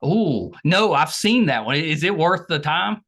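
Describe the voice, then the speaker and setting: A man's voice: on the high side, very animated, measured pace, fairly bright tone. A man hosting a casual podcast interview in an informal, agreeable way. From Southern United States. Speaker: podcast host.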